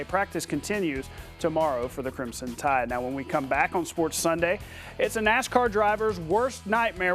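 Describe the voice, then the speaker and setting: High-pitched American male sports anchor. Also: a bit bright. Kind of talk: scripted sports broadcast narration.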